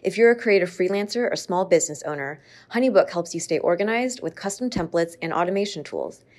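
A woman host reads a scripted sponsor message in an even, measured way. Her voice sits a little low. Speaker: podcast host reading an ad. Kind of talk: scripted ad read.

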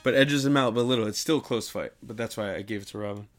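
A man's voice: lowish voice, fast talker, soft background music. Casual male podcast co-host with slangy banter.

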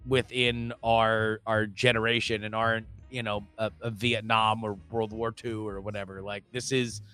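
Animated man who stammers at times. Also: fairly low pitch, normal speed, clear, resonant tone, soft background music. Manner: animated, talks himself into emphatic bursts. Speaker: podcast co-host. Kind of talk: casual political chat.

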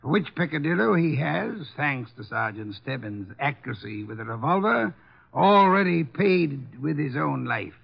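Pompous brainy old detective, husky n gravelly, fancy precise words, old muffled radio show. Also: male voice, a little high, big pitch swings, moderate pace, heavily acted. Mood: lecturing, self-satisfied. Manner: pompous, erudite, precise diction. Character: pompous, brilliant older male armchair detective. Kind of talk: 1950s radio drama acting. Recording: muffled vintage 1950s radio recording.

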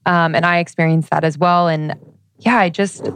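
A woman's voice: on the low side, fairly even intonation, normal speed, smooth, no echo. A woman hosting an interview, affirming her guest and asking reflective questions. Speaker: interview host. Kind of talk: casual interview.

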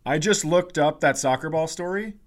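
A man's voice: a little high, normal speed. A man hosting a comedy podcast, telling stories casually in a wry, profane way. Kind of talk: casual comic storytelling.

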